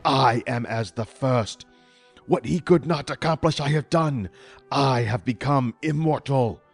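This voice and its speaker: A male narrator voicing a mad, immortal villain with mercury in his veins, making slow, booming proclamations, with faint music behind. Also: gravelly. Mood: cold boasting.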